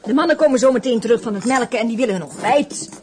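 An actress playing a brisk, commanding farm mother in a radio drama. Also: on the high side, background noise, natural voice. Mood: firm, commanding.

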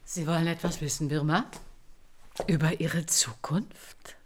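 An actress playing a wary, streetwise fortune-teller of about fifty in a radio crime drama, speaking bluntly and guardedly. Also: female voice, sits low, steady tone, unhurried, a little hiss or hum. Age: 50s.

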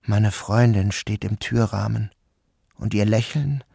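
A man giving a measured, subdued first-person narration of a novel. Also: on the low side, creaky, noisy background.

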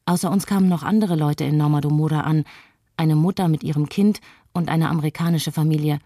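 A woman playing a frightened young mother, telling her testimony calmly and reflectively in a radio play. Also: low voice, fairly quick, no room sound, studio quality. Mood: subdued, reflective.

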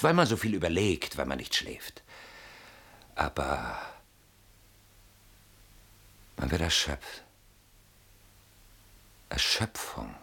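A man's voice: low-pitched, a bit bright, slightly rough, full character voice. An actor playing a haunted, defensive man in a radio play, speaking in a ruminating, bitterly ironic and theatrical way. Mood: weary, resigned. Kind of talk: German radio play acting.

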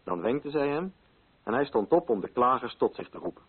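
An actor playing a sober literary narrator, telling a story in scripted radio drama style. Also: male voice, medium pitch, sing-song, brisk, slightly rough. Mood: sober, solemn. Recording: muffled old radio recording.

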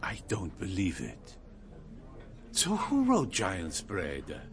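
A man's voice: low voice, huge pitch swings, slow pace, heavy background noise, heavily acted. An actor playing an inquisitive, eager man who probes with questions in a radio drama. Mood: incredulous. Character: Carl, inquisitive, eager male listener probing a story. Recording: crowd murmur behind.

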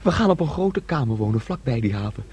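An actor in a Dutch radio play playing a childlike, eager, dreamy young man, naive and insistent, with a husky voice. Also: mid-range voice, big pitch swings, fast, a little mellow. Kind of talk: Dutch radio drama acting.

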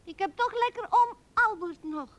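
A woman's voice: extremely high pitch, moderate pace. An actress voicing a small, earnest girl, indignant and fanciful, in a childlike piping manner for a radio play. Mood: sulky.

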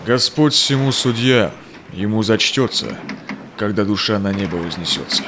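An actor playing a steadfast, devout Russian Cossack explorer in a rhymed verse audio drama, with measured, solemn delivery. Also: male voice, on the low side, animated, bright, forceful tone, a little hiss or hum. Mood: solemn, calm.

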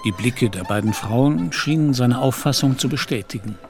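A man giving scripted literary narration for a radio play in a measured, descriptive storytelling manner. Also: mid-range voice, a little mellow, faint music behind.

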